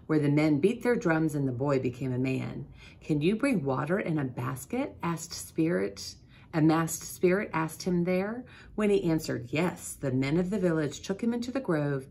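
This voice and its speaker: Woman in her forties, low pitch, expressive picture-book read-aloud, deliberate delivery, noticeable background noise. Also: creaky. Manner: deliberate, expressive storytelling with questions to listeners. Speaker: school principal, guest storybook reader. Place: small office, indoors.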